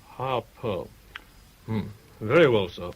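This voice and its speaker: An actor playing a polite but guarded attendant in a radio drama, speaking formally and deferentially. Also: male voice, lowish voice, animated, takes it slowly, breathy edge, exaggerated voice. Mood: guarded politeness.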